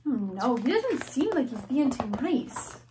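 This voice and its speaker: A woman's voice: sits high, very animated, unhurried, darkish tone, a little hiss or hum. A young woman teacher in her twenties reading a picture book aloud to children, gasping and asking them questions. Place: small classroom-style room indoors.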